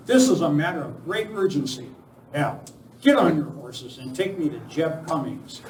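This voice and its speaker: A man's voice: fairly high pitch, lively intonation, moderate pace, lightly acted. Man acting a commanding masked western hero, roomy. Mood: firm, urgent. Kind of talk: amateur live radio western play. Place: village hall stage, live performance. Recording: roomy, distant miking, faint noise.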